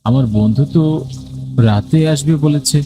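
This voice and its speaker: A man's voice: mid-range voice, moderate pace. A man narrating a scripted first-person ghost story for a cartoon.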